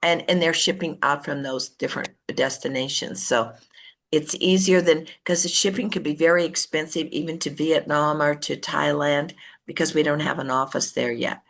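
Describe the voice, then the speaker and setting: A woman's voice: deep voice, fairly even intonation, moderate pace, gravelly, fairly bright tone. An American woman giving an informal business talk as a team leader, conversational and often correcting herself as she goes. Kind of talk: informal business motivational talk, partly reading from notes.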